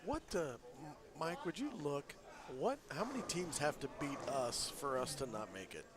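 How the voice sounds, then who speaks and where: Stuffy-nosed man, fairly high voice, joking casual bowling commentary, loud crowd in a bowling alley. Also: animated, moderate pace. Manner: joking, laughs easily, rambling. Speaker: bowler joining the stream commentary. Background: bowling alley crowd noise.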